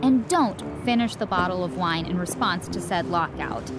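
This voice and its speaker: A woman's voice: lowish voice, normal speed, over music, lightly acted. A woman playing a naive, self-deprecating young small-town newcomer in an audio drama monologue. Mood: nervous, self-mocking. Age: young adult.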